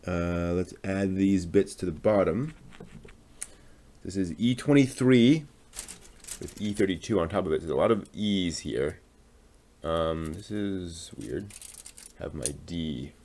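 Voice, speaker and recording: Man, very deep voice, unhurried pace, casual build-along chat, halting, absorbed muttering. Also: darkish tone. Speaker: hobby channel host building a model kit on stream.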